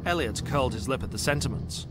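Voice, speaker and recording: A man's voice: medium pitch, lively intonation, quick pace, noisy background. A man narrating a scripted audio drama in a dramatic storytelling style.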